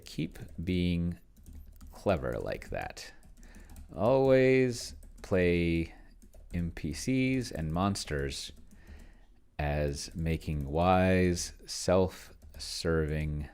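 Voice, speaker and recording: A man's voice: a bass voice, creaky, darkish tone. A man talking casually to himself in a rambling think-aloud game commentary, sometimes muttering and reading aloud as he types. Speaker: solo tabletop role-player demonstrating a game.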